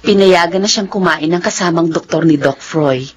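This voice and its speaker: A woman's voice: on the low side, moderate pace, natural voice. A woman acting a supportive, no-nonsense friend in a radio drama, coaxing and reassuring. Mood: coaxing, insistent.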